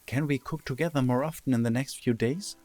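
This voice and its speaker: An actor as a young son in an audio drama, with a medium-pitched male voice. He is polite and unsure of himself, speaking haltingly. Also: a little mellow, natural voice. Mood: tentative. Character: hesitant, polite young man, son living at home.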